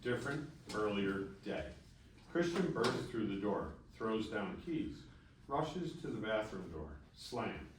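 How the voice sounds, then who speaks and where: A man's voice: fairly low pitch, animated, takes it slowly. A man narrating a screenplay at a table read, reading stage directions aloud. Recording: very echoey room, distant mic, faint noise.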